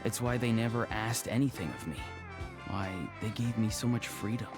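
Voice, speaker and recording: An actor playing a soft-spoken, thoughtful young male shaman in an anime game. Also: lowish voice, normal speed, music in the background, close to an everyday voice. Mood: wistful.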